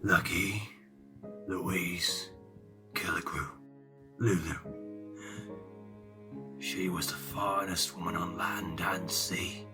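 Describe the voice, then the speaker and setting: An actor playing a grieving, sentimental old pirate captain in an audio drama, often hushed and breathy. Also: male voice, low-pitched, flat delivery, very slow, pauses often, music in the background. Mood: mournful, remembering. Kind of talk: theatrical audio drama dialogue.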